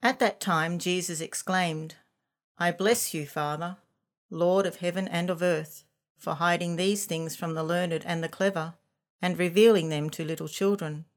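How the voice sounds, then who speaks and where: A woman reading scripture aloud with measured, reverent phrasing. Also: fairly low pitch, fairly even intonation. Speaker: scripture reader.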